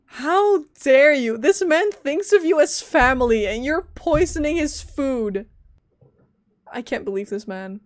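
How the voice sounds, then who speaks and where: A woman streaming a video game, giving casual, expressive and irreverent reaction commentary. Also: high-pitched, unhurried. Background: faint game music.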